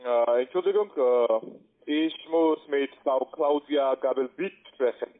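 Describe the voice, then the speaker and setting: A man's voice: mid-range voice, unhurried. A man speaking non-native German, making a sales call as an account manager. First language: not German. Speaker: cold caller, investment account manager. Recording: tinny phone line.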